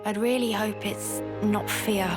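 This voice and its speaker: A woman's voice: mid-range voice, fairly even intonation, measured pace, natural voice. A woman acting a cocky, laid-back young fighter in a game cutscene, slangy and frank. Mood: reflective. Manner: casual, slangy, teasing. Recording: music behind the voice.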